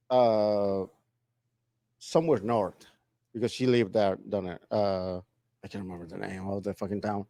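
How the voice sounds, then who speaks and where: A man with a Mexican accent tells rambling stories, pausing and restarting as he goes. His voice is mid pitched for a man. He sounds close and dry, with no room echo. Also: dark tone. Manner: halting, rambling anecdotes. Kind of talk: casual podcast chat.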